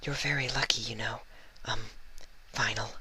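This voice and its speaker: A man voicing a shy, gentle young female pegasus nurse from a cartoon fan story, soft and breathy with hesitant, timid speech. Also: medium pitch, steady tone, moderate pace, voice pushed up, heavily acted, low-quality recording. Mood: gentle concern. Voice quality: soft, breathy, half-whispered.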